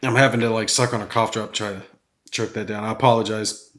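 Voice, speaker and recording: Guy talking through his board game turns, a bit raspy from a cold, chuckles at bad dice. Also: lowish voice, steady tone, fairly quick, a bit bright. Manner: thinks aloud while rolling dice, chuckles at bad rolls. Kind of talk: solo board game playthrough commentary.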